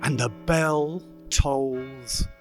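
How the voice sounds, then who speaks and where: A man narrating a radio play as a scripted storyteller, in a measured, dramatic manner. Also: medium pitch, fairly bright tone, faint music behind.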